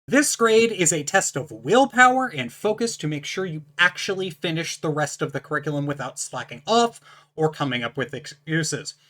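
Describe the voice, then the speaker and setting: A host speaking straight to the viewer with a powerful, distinct voice and a bright, sharp edge to its tone. The delivery is casual but emphatic, setting out firm rules in a blunt, lecturing way. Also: mid-range voice, animated, normal speed. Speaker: channel host reviewing a book. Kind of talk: casual explanatory talk to camera.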